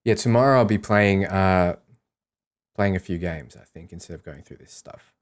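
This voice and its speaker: Low-voiced Australian man streaming casually. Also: moderate pace. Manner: informal, thinking aloud. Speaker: live streamer and host. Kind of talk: casual livestream chat.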